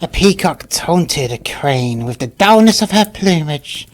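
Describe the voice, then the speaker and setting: A man's voice: on the high side, lively intonation, measured pace. A man reading short fables aloud as a scripted storybook narration.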